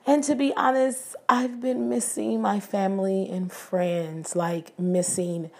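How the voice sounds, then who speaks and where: A woman with a raspy voice hosting a casual devotional podcast, talking earnestly and prayerfully to her listeners. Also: mid-range voice, steady tone, slow pace. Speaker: podcast host. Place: living abroad, indoors.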